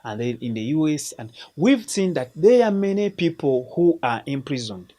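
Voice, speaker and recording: A man with a slightly high voice hosts a film show. He talks earnestly and a bit like a preacher, and he often stumbles and restarts mid-phrase. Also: mellow. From Uganda. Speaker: host of a film recommendation show. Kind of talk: casual spoken film review.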